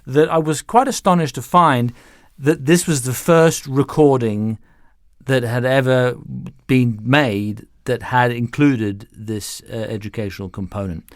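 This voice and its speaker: A middle-aged or older man speaking conversationally as an interview guest, explaining things in an animated, anecdotal way with self-interruptions. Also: medium pitch, measured pace, dry recording, clean studio sound. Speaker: interview guest, music director and violin teacher.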